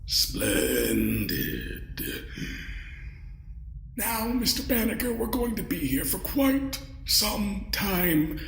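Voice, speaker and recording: An ancient alien villain, speaks slowly in a roomy echo. He is pompous and courtly, savoring each phrase with smug menace as his pitch swoops in audio-drama style. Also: gravelly, a bit bright, slight background noise, exaggerated voice. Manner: pompous, theatrical, savoring pauses. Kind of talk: scripted audio drama acting.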